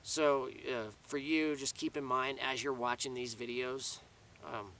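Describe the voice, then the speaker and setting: A man's voice: mid-range voice, level tone, a little slow, pushed and bright, far from the mic, slight background noise. A man giving a casual, rambling video update outdoors in the mountains, restarting his sentences often. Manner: rambling, many restarts and fillers. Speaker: vlogger. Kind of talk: casual spoken update to camera.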